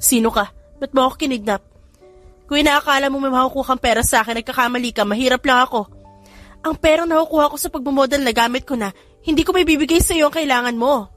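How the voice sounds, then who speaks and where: A woman's voice: sits high, fast, a bit bright, dry recording, pitched above the performer's own voice. A woman voicing a frightened but defiant young kidnapped wife in an audiobook drama, with music behind. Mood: defiant, anxious. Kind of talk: audiobook character dialogue.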